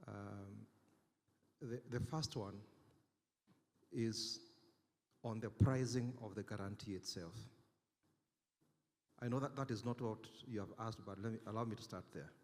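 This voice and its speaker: A man on a conference panel giving a careful, deliberate answer, often repeating words as he thinks. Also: lowish voice, darkish tone. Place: conference hall. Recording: long hall reverb.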